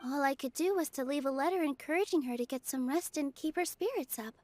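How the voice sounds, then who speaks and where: A woman playing a gentle, modest young female theater dancer in an anime-style video game, speaking politely and earnestly. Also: sits high, quick pace, lightly acted. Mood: concerned. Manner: soft-hearted, polite, earnest. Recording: music behind game dialogue.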